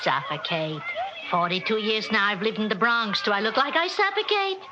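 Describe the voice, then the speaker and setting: A woman's voice: mid-range voice, animated, moderate pace, over music, lightly acted. A woman playing a meddling, talkative older mother-in-law with a New York accent in a 1960s radio drama, kvetching in rhetorical questions. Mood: coaxing, bossy. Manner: kvetching, rhetorical questions, inverted Yiddish-style syntax. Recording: muffled, hissy old radio recording.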